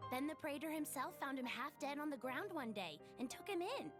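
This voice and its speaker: A woman's voice: high-pitched, expressive, fairly quick. A woman playing a cheeky, teasing companion to a prince in a video game cutscene. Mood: explaining. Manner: playful, teasing. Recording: music behind the voice.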